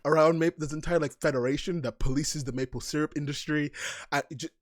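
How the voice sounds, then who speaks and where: A man's voice: on the high side, steady tone, fast talker, dry recording, studio quality. A man with a British-sounding accent co-hosting a casual podcast chat, joking and laughing often. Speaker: podcast co-host.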